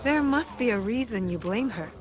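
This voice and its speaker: An actress playing a serious, direct young shinobi woman in a video game, speaking with quiet resolve. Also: fairly high pitch, animated, normal speed, a crowd in the background, natural voice. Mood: probing, serious. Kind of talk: video game cutscene acting. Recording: music clearly behind the voice.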